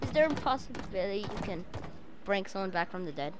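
A woman's voice: medium pitch, very animated, brisk. A woman improvising role-play in a game voice chat, with a blunt, teasing way of talking. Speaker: player in a role-play gaming session. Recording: noisy home headset game chat.

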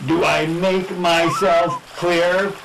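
Pompous, stubborn small-town mayor for radio spoof male, gravelly creak, emphatic delivery. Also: sits high, level tone, drawn-out words, noisy background, full character voice. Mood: defiant. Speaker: amateur radio drama actor. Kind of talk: spoof radio drama acting. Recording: music bed under the voice.

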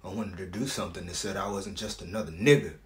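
A man's voice: sits low, fairly even intonation, quick pace, natural voice. A man acting a dramatic stage monologue as a proud, wounded grown son speaking to his father. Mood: bitter, hurt. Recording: echoey room.

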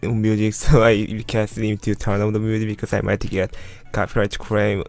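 A man of about twenty with a Korean accent, giving casual commentary in halting, repetitive non-native English. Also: fairly low pitch, monotone, darkish tone. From South Korea. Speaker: streamer narrating his video. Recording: voice-over with music underneath.

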